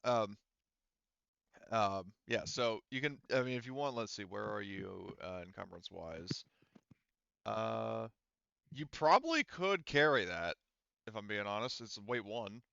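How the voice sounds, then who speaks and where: Male game master, casual tabletop banter, forceful bright tone on a slightly lo-fi recording. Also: fairly low pitch, animated, a little slow, vocal fry, smooth, no echo.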